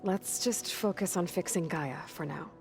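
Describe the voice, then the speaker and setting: An actress playing a determined young video game heroine, guarded and earnest, often speaking in a hushed way. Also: female voice, on the low side, normal speed, lightly acted. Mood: focused. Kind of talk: naturalistic cinematic video game acting. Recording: game dialogue over music.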